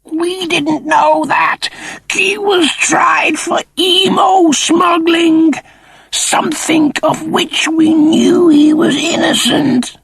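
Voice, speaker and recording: A man voicing a cold, officious chimp-like creature in a strained, creaky falsetto, with slow, pompous delivery in an audiobook. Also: steady tone, fairly bright tone, dry recording, exaggerated voice, low-quality recording. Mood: coldly persuasive. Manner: slow, deliberate, pompous pronouncements.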